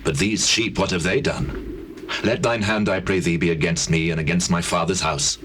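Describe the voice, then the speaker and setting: A man's voice: low-pitched, steady tone, normal speed, fairly bright tone. An actor playing a penitent, grave king of ancient Israel in a dramatized scripture, speaking in solemn, pleading archaic phrases. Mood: remorseful pleading. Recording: noisy old cassette tape.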